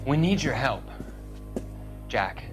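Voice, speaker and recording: An actor playing an anxious, pleading young medical student back from the war, in a naturalistic game cutscene. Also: male voice, medium pitch, very animated, takes it slowly, faint music behind. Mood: worried.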